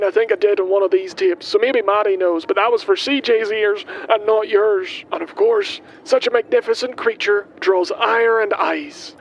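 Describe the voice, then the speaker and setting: A man playing an eccentric milkman who delivers his taped last will in a grandiose, ominous, theatrical way, in audio drama style. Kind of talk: scripted audio drama monologue. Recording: noisy taped message with background rumble.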